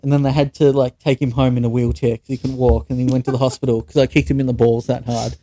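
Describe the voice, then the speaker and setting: A man's voice: mid-range voice, level tone, quick pace, dark tone, dry recording. A young Australian man co-hosting a podcast, telling stories in a casual, sweary and blunt way. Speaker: podcast co-host. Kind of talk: casual chat, anecdotes with mates.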